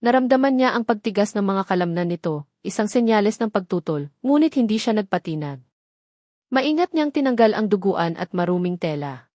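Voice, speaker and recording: Female narrator for dramatic romance audio story, mid-pitched, dry studio-like sound. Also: expressive, fairly quick, darkish tone, no room sound.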